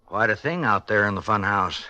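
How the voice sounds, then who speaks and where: An actor playing a wry, world-weary small-town sheriff in a 1950s radio drama, folksy, dry and admonishing. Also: male voice, fairly low pitch, lively intonation, moderate pace, sharp tone, no room sound. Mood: wry, calm. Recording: dull old 1950s radio recording.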